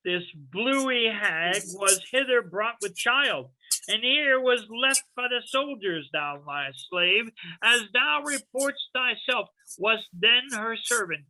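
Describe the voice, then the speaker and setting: A woman's voice: a little high, lively intonation, bright, forceful tone, exaggerated voice, rough recording. A woman playing a commanding, imperious sorcerer in a Shakespeare reading, declaiming theatrically to a servant spirit. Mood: scolding, imperious. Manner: slow, stern, theatrical declamation.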